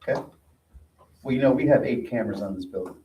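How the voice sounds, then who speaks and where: A man's voice: mid-range voice, fast, dull audio. A plainspoken man at a community meeting, giving residents practical advice in a reassuring tone. His voice sits in the middle of the usual range. The recording is roomy, from a distant mic with faint background noise. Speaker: association board member answering residents. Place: meeting room.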